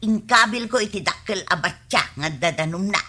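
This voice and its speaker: An actress with an Ilocano accent playing a lively, talkative village woman in a comedy drama. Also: mid-range voice, normal speed, slightly rough. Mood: chatty, enthusiastic.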